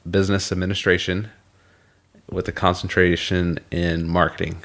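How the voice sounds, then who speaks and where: Deep-voiced man, scratchy throat. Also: little pitch movement, fairly bright tone. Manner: explanatory, measured. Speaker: podcast co-host. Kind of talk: casual podcast chat.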